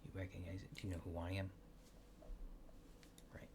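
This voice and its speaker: A man doing a soft-spoken ASMR roleplay, hushed and often near a whisper, with hesitant, polite, clinical phrasing. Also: low-pitched, monotone, moderate pace, background noise. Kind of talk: soft-spoken ASMR roleplay as an alien abductor examining a subject.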